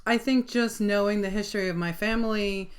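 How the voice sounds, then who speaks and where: A woman's voice: mid-range voice, fairly quick. A middle-aged woman with a New York accent, chatting casually as co-host of a live Q&A stream. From Long Island, New York. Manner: chatty, warm laughs, filler ums.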